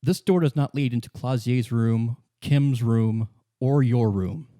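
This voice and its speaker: Man, game master, mellow tone, dry close sound, halting improvised narration, conversational. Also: mid-range voice, dry recording.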